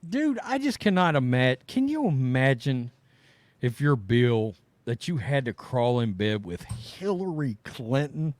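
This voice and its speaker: A middle-aged man co-hosting a casual chat show, rambling and trailing off quietly at the ends of thoughts. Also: mid-range voice, very animated, a little slow, dry recording. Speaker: podcast co-host.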